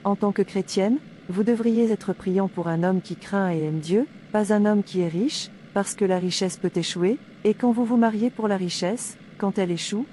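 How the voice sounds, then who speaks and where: A woman voicing a pious, calm young woman in a cartoon drama, preachy and stilted. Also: medium pitch, moderate pace, few pauses, slight background noise, close to an everyday voice. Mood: earnest, admonishing.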